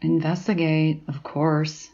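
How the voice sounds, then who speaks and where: A bossy, sardonic female librarian played by a woman with a low voice and gruff, commanding delivery. It is an audio drama with slightly muffled sound. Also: level tone, slow, dark tone, heavily acted. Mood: curt.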